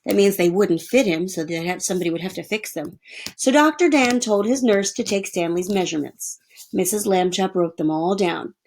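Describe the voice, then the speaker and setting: A woman's voice: mid-range voice, moderate pace, a little mellow, slight background noise. A woman reading a children's storybook aloud like a teacher, explaining things simply for young listeners. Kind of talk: storybook read-aloud with plain asides.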